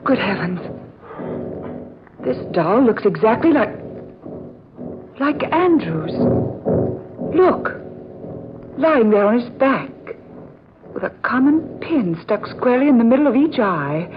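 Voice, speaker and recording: An actress playing a well-bred, alarmed and inquisitive young lady in an old radio horror drama, with deliberate dramatic pauses. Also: on the high side, big pitch swings. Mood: horrified wonder. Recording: muffled, noisy vintage radio recording.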